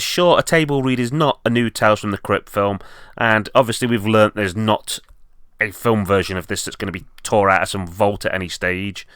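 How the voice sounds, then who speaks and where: A man's voice: on the low side, fairly bright tone, clear, resonant tone, dry recording, studio quality. A man presenting an enthusiastic fan update as a video host, speaking in an earnest way.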